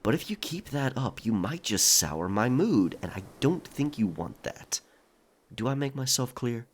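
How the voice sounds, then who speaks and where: An actor playing a stern pirate quartermaster in a historical romance audio drama, brusque, controlled and threatening, yet reasonable. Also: male voice, medium pitch, very animated, a little slow, slightly rough. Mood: quiet threat.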